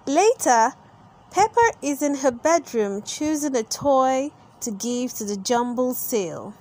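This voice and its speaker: High-voiced woman reading slowly to children. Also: lively intonation, a little mellow. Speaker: storybook reader and channel host. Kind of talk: expressive read-aloud narration for young children.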